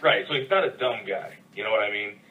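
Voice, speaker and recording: A man with a lowish voice chats casually on a podcast, laying out his view patiently and at length. He sounds a little distant from the mic, and the sound is thin and phone-like. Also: quick pace, slight background noise. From United States. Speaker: podcast co-host. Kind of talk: casual chat.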